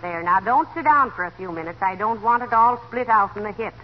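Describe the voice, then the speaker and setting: An actress playing a brisk, thrifty wife managing her husband in a 1940s radio comedy. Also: medium pitch, natural voice. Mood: bossy. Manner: brisk, bossy, soothing by turns. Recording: muffled, faintly noisy 1940s radio recording.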